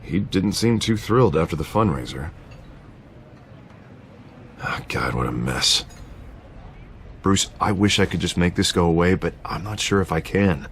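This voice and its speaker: An actor playing a harried but loyal district attorney in a video game, frank and expressive. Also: male voice, deep voice, measured pace, pauses often. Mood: troubled. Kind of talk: cinematic game dialogue acting. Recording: clear ambient noise behind.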